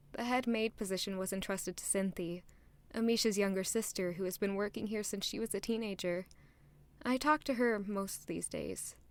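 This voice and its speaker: Young castle maid, humble and earnest, higher-pitched, played by a woman narrating an audio drama over faint music. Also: natural voice.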